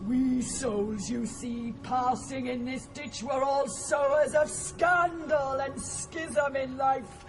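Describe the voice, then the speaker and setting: An actor playing a mutilated damned soul in an audio drama, crying out slowly in drawn-out anguish. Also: male voice, very high voice, fairly even intonation, music in the background, poor audio. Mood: anguished, wailing. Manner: slow drawn-out anguished cries. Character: mutilated tormented damned soul, male, wailing in agony. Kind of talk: dramatized audio performance of epic poem.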